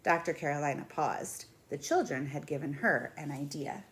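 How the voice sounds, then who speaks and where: A woman in her thirties reading a picture book aloud in an expressive, deliberate way. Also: deep voice, noisy background. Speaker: read-aloud storyteller. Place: small indoor room at home.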